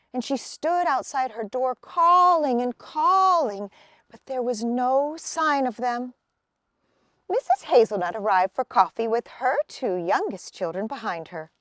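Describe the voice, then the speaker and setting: A woman reading a children's picture book aloud as an expressive storyteller. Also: sits high, measured pace, no echo, studio quality.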